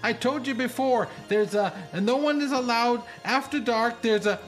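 A flustered young gatekeeper boy stammers through his words in a nervous, high-pitched voice, as a man puts him on for a tabletop game. He sounds unsure of himself. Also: normal speed, faint music behind.

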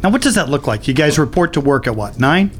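A man's voice: fairly high pitch, very animated, a bit bright, a little hiss or hum. A man hosting a casual podcast interview, joking and teasing his guests. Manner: joking, teasing, quick asides. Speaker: podcast host.

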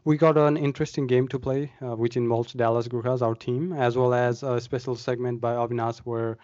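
A man's voice: mid-range voice, fairly even intonation, fairly quick, darkish tone, no echo. Man hosting, dry dull podcast sound. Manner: friendly, steering questions with fillers. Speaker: podcast host. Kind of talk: casual podcast interview.

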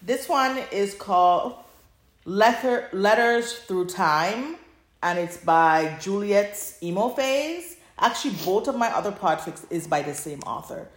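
A woman's voice: mid-range voice, expressive, measured pace, roomy sound. A woman co-hosting a podcast in casual chat, recapping stories in an informal, conversational way. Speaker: podcast co-host.

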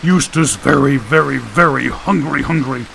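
Guy playing a simple childlike man in an audiobook, halting, mutters and says words over and over, faint rainy noise. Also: mid-range voice, vocal fry, fairly bright tone, low-quality recording. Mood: simple, earnest. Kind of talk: audiobook character voice.

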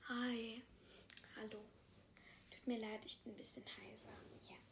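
A woman playing a sick young female apprentice, hoarse and speaking quietly and hesitantly, half in a whisper. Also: on the high side, level tone, unhurried, slight background noise. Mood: sheepish.

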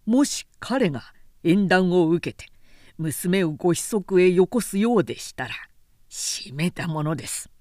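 A woman's voice: fairly low pitch, lively intonation, a little slow, warm tone, no echo, studio quality. A woman reading the part of a shrewd, scheming male adviser who gives formal, calculating counsel in a historical story. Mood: calculating, persuasive.

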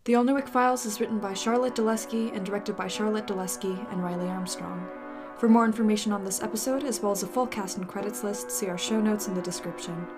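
A woman's voice: mid-range voice, steady tone, fast talker. Woman reading credits over music. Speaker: credits announcer. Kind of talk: scripted podcast credits read.

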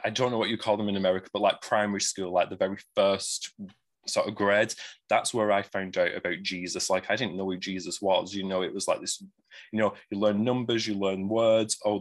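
A man with a Manchester accent talks through his story as a podcast guest, his voice sitting somewhat low. He rambles comfortably and fills pauses with ums as he goes. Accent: Northern English, Mancunian. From Greater Manchester, England. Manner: chatty, rambling with many ums. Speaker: guest author. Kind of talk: casual interview chat.

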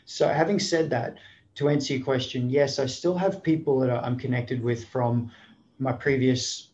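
Guest, Australian man, middle-aged, reflective storyteller, mid-pitched, slightly muffled line. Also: fairly even intonation, normal speed, darkish tone, slight background noise. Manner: thoughtful, frequent restarts and 'you know'.

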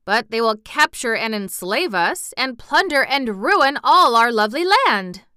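A woman voicing a grave, gentlemanly wizard in a storybook, polite and formal. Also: medium pitch, expressive, moderate pace, clear, resonant tone, dry recording, clean studio sound. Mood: disturbed. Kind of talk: storybook character voice.

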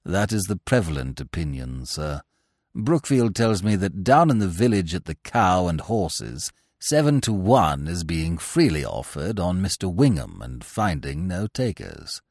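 A man's voice: low-pitched, big pitch swings, a little slow, a little mellow, no echo, clean studio sound, voice pushed down, heavily acted. A man voicing a grave, unflappable middle-aged valet who speaks formally and deferentially. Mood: composed.

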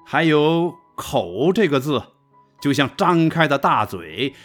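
A man's voice: fairly high pitch, very animated, slow pace, a bit bright, faint music behind, heavily acted. A man playing an insistent, instructive father of a young girl in a radio drama.

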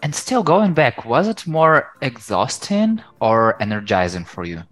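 A woman's voice: rumbling low, big pitch swings, measured pace, faint music behind. A woman whose first language is Ukrainian, hosting an interview and asking short, curious questions. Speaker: host.

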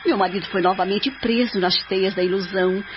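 A Brazilian woman acting a serene, devoted spirit mother in a radio drama, speaking in a solemn, measured way. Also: mid-range voice, steady tone, noisy background, lightly acted. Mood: sorrowful, grave. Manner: solemn, measured, edifying delivery. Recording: dull lo-fi audio under music.

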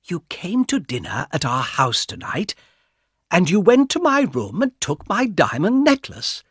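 A man voicing a frightened young woman who pleads with her brother in a high, strained voice. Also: huge pitch swings, measured pace, fairly bright tone, slightly rough, dry recording, studio quality, exaggerated voice. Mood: distressed.

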